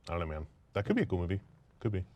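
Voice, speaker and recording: A man with a deep voice chats at a quick pace as a show panelist. He is informal and blunt, talking as casually as he would with friends. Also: huge pitch swings, darkish tone. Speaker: panelist on a film and gaming discussion show. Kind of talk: casual chat.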